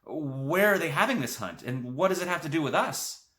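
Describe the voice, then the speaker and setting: A man's voice: fairly high pitch, animated, normal speed, bright, forceful tone, pitched above the performer's own voice. A man voicing an eager young country boy, talking in an astonished, wide-eyed way.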